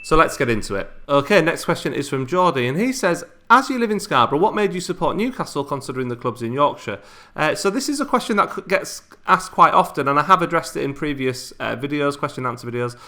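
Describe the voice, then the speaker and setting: Bright-voiced chatty man. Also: a little high, very animated, fast. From England. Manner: chatty, informal, frequent ums and restarts. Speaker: YouTuber. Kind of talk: casual subscriber Q&A.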